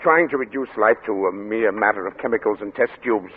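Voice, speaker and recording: A man's voice: on the low side, brisk, breathy edge, narrow, tinny audio. An actor playing a troubled, moralizing male doctor in an old-time radio drama, hesitant and repetitive in his earnestness. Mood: appalled. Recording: crackly, band-limited vintage radio broadcast.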